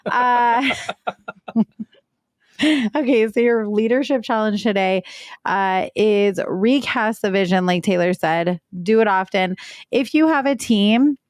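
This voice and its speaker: A woman co-hosting a business leadership podcast with a pitchy voice, chatty and playful. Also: slow, a little mellow, dry recording, clean studio sound. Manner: playful, chatty, laughs easily. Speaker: podcast co-host. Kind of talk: casual podcast chat.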